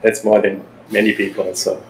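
A middle-aged man hosting an interview over a video call, asking halting questions with frequent restarts and supportive replies. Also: on the low side, flat delivery, darkish tone, a little hiss or hum, rough recording. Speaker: podcast host. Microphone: over-ear headphones, video call.

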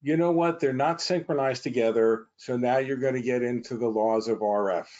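A man's voice: medium pitch, moderate pace, darkish tone, highs cut off. A man speaking as a company guest, informally explaining technical matters on a webinar over a video call.

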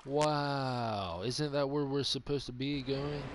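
A man's voice: mid-range voice, fairly even intonation, slow, slight background noise. A man giving casual live commentary as he streams a video game. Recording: loud game music over voice. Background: loud game music.

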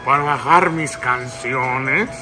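A man's voice: mid-range voice, lightly acted. A man putting on a boozy, courteous old-time male crooner, drawling politely and sometimes crooning, in a prank phone call. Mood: singing, pleading. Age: young adult. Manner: slow, drawling, polite, breaking into song. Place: large public lounge indoors. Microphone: camera at arm's length. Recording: music playing behind.

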